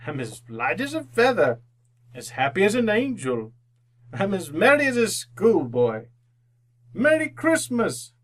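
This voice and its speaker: An actor playing an old repentant miser in a radio drama, theatrical and fervent. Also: male voice, high-pitched, wildly swooping pitch, a little slow, a bit bright, full character voice. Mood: giddy delight. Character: old repentant miser, fearful then overjoyed.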